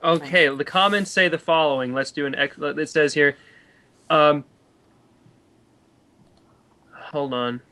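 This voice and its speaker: A man chatting casually and debating films on a voice call, with an animated, exasperated way of reacting. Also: a little high, moderate pace. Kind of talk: casual chat, film debate on a group voice call.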